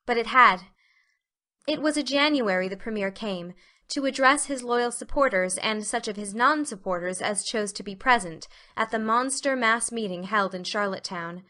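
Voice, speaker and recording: A woman narrates a storybook from a script. Her voice is bright and forward, pitched a little high. She tells it as an audiobook narrator. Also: normal speed.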